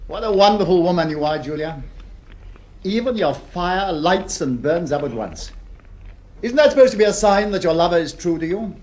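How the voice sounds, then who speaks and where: An actor with an English accent playing a besotted, romantic gentleman in an old-fashioned radio drama, gushing and a little hesitant. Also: high voice, lively intonation, normal speed. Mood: delighted.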